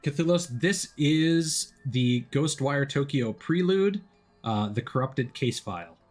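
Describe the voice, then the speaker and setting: Read as a male streamer narrating a story game aloud, expressive and theatrical, slipping into casual asides between passages. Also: mid-range voice, takes it slowly, faint music behind. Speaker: streamer playing a visual novel.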